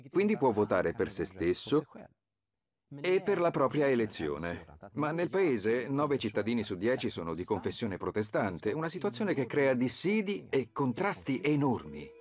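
Historian in his forties, animated delivery, explanatory. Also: mid-range voice. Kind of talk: explaining at a map table.

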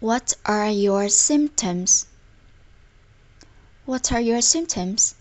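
A woman teaching a language in scripted narration, enunciating example phrases deliberately, word by word. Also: a little high, animated, a little mellow.

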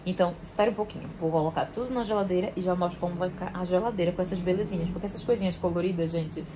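A woman's voice: on the low side, racing, noisy background. A young woman chatting casually to her viewers while sorting food in her home kitchen, filmed by a camera on the counter. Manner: chatty, addresses viewers often. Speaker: vlogger. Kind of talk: casual kitchen vlog chat.

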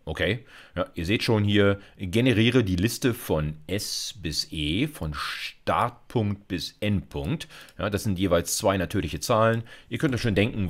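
A man in his fifties giving an informal, conversational screencast lecture at his computer, checking in often as he explains. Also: lowish voice. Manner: conversational, with frequent "okay" and "ja" check-ins. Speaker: university computer science lecturer. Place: indoors, recorded at a computer desk.

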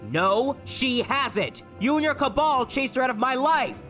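Man acting a resentful young male echidna, very high for a man, sharp, combative, cartoon dub. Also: lively intonation, unhurried, soft background music, heavily acted. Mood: defiant. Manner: curt, combative.

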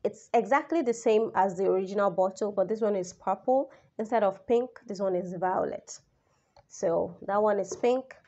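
A young woman reviewing a perfume in a casual vlog, slightly raspy as she recovers from the flu, talking chattily and straight to her viewers. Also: mid-range voice, moderate pace, lo-fi sound. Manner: chatty and expressive, addresses viewers directly. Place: indoors, small room, seated. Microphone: camera in front, head-and-shoulders framing.

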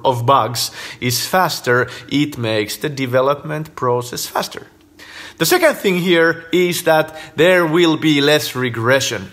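A man in his thirties with a Finnish accent, hosting a casual vlog and making emphatic points to a phone camera in his living room. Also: a little high. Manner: animated, emphatic, gesturing with fingers while counting points. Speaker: host. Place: living room at home, indoors. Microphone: phone camera at arm's length.

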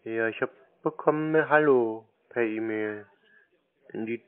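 A man on a phone call, answering tersely in a deadpan, obtuse way. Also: medium pitch, measured pace, clean tone. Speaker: scam-baiter playing a customer on the phone. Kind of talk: phone conversation. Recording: tinny, narrow-band phone line.

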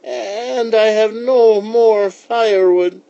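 A man voicing a kindly, mysterious old woman in a children's storybook, with slow, emphatic delivery. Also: high voice, steady tone, creaky, dark tone, dry recording, muffled recording, voice pushed up, full character voice. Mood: cold, frail.

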